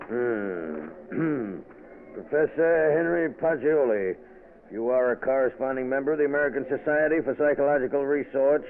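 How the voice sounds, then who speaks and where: A man's voice: medium pitch, a little hiss or hum. An actor playing a brash, slangy American insurance man in an old radio drama. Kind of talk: 1940s radio acting. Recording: tinny old radio broadcast.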